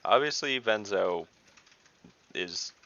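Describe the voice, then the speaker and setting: A man's voice: lowish voice, expressive, slow. A man chatting casually as a player in an online tabletop game, with a wry, joking manner. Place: online group voice call. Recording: slightly dull, noisy mic with clicks.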